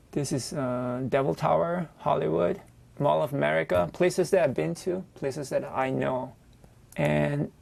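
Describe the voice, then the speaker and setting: A man with a Malaysian accent and a heavily creaky, mid-pitched voice talks over music. He is a non-native English speaker who feels his way through sentences, explaining things in a personal, unscripted way, as an artist would in an interview. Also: a little mellow. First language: not English. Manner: halting, searching for words. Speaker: visual artist interviewed for a TV profile. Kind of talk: unscripted personal storytelling and explanation of own work.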